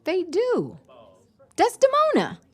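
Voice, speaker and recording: A woman playing a fiercely competitive, driven high-school team captain in an audio drama. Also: wildly swooping pitch, slow pace, heavily acted. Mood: indignant. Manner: driven, insistent. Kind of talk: scripted audio drama acting.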